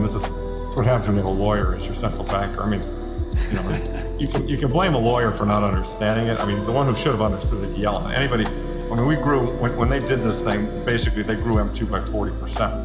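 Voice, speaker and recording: A man giving an off-the-cuff financial commentary, conversational and emphatic, checking in with rhetorical questions. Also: lowish voice. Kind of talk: off-the-cuff chart explanation in a talk. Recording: echoey, music laid under the voice.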